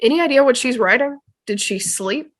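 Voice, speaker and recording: A woman's voice: sits high, animated, a little fast, a little hiss or hum. A woman acting a matter-of-fact, know-it-all teenage boy in a dramatic table read over a video call.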